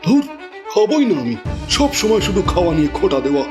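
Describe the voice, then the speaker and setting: A man's voice: sits high, very animated, a little fast, music in the background. A man playing a lazy, whiny married elder brother from a village in a cartoon folk tale, grumbling and evasive. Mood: irritated.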